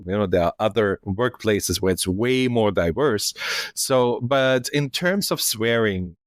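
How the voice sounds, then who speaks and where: Austrian guy, german native, lowish voice, podcast host asking long questions, dry mic. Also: expressive, unhurried, no room sound. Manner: hesitant, searching questions. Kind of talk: interviewing.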